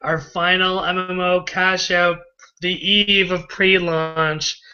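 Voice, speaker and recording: A man's voice: sits high, level tone, slow, bright, forceful tone. A man hosting a promotional live video call, praising guests with enthusiastic, hype-filled talk. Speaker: host and admin of an online business call. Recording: dull lo-fi video call audio.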